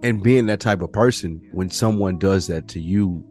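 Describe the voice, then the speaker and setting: A man with a fairly low voice talks casually as a podcast co-host. His speech is slangy and sweary, and he puts his opinions bluntly. A little music sits in the recording. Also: normal speed, darkish tone. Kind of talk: casual conversational podcast talk.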